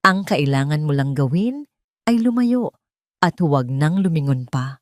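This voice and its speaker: A woman's voice: low-pitched, expressive, a little slow, no room sound. A woman narrator puts on an imperious old society matriarch for an audio drama. She is cold, unflinching and commanding, bargaining and threatening with total control. The sound is dry and close.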